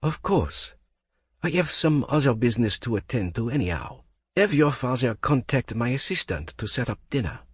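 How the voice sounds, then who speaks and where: A man voicing a smug, drunk French billionaire with a thick, smooth, slurring French accent. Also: medium pitch, very animated, moderate pace, no room sound, voice pushed up. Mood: cool.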